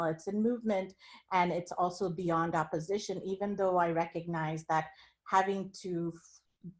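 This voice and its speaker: Reflective woman from India, soft-spoken. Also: on the low side. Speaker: keynote speaker. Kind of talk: academic talk sharing her screen. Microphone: video call.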